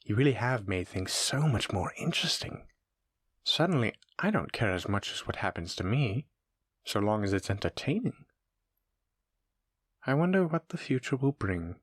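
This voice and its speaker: A man playing a sadistic, playful shape-shifting monster who muses and taunts with unhurried menace in a horror audio roleplay. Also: lowish voice, expressive, no echo, studio quality. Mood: curious, pleased.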